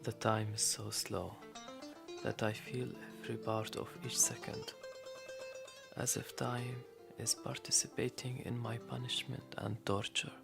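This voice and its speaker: Man acting a weary imprisoned storyteller, creaky voice. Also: mid-range voice, flat delivery, music in the background. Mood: somber. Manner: measured, grave, reflective. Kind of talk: first-person radio play narration.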